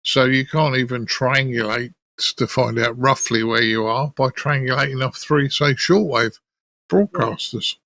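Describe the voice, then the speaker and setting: A man hosts in a conversational presenting style. His voice is sharp and bright with a noticeable gravelly fry, recorded dry. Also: medium pitch, a little slow, no echo. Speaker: podcast host.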